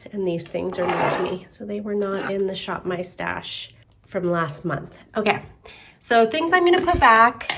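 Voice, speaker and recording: A middle-aged woman chats to camera about her makeup collection, rambling easily with self-deprecating asides. Her voice sounds slightly nasal as she gets over a cold, and she talks at an ordinary, mid-range pitch. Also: background noise. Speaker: beauty vlogger. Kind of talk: casual chat to camera. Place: home, then moves upstairs to makeup desk.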